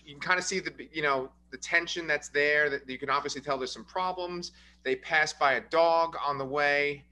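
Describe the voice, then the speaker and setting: A man's voice: a little high, sharp tone. A man hosting a casual movie review podcast, upbeat and chatty, recapping the plot with frequent fillers. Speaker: podcast host.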